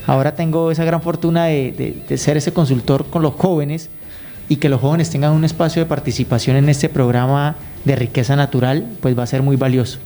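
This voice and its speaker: A man's voice: a little high, steady tone, a little fast, warm tone. A Colombian man giving earnest, conversational interview answers as a radio guest, searching for his words now and then. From Colombia, raised in Guaviare. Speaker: radio guest, youth program consultant.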